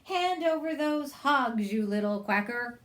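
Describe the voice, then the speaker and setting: Woman reader doing a cranky storybook witch: screechy, demanding, insulting, pitched well above her own voice, in a reverberant room. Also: expressive, a little slow. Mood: demanding, shrill. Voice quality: shrill, screechy. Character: cranky, shrieking female witch, greedy and bossy. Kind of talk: storybook read-aloud voice. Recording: echoey room.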